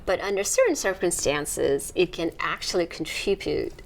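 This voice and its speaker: Woman researcher with a low voice. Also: lively intonation, gravelly. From Nepal. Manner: measured, explanatory. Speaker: immunology researcher. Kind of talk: documentary interview.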